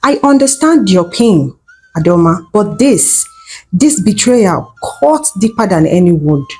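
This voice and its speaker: A woman's voice: mid-range voice, sing-song, a little slow, a little mellow, faint music behind. A woman voicing a betrayed, angry village husband with an accusing, wounded manner in a folktale.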